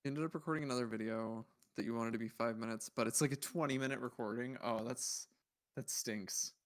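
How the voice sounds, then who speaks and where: A man's voice: mid-range voice, steady tone, fairly quick. A man hosting a livestream, chatting casually and rambling as he thinks aloud in a puzzled, musing way while browsing. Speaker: livestream host.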